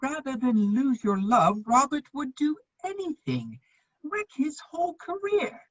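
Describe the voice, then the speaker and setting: A man playing a witty, loyal gentleman bachelor with a husky voice, declaiming earnestly in a theatrical reading of a period comedy. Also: very high voice, big pitch swings, measured pace, dry recording, highs cut off, exaggerated voice. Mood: urgent, pleading.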